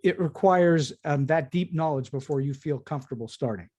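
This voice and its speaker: A man's voice: fairly high pitch, normal speed, mellow. An American man asking questions as a podcast host in a conversational interview style.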